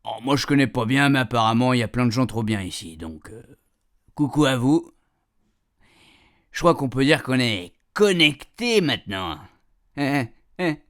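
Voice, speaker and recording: A man's voice: medium pitch, very animated, normal speed, fairly bright tone. A man playing a chatty, cheeky retired grandpa who rambles colloquially through a radio fiction monologue. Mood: folksy, hesitant. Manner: rambling, colloquial, repeatedly checking his puns landed. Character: chatty retired grandpa, cheeky, self-amused, homespun storyteller.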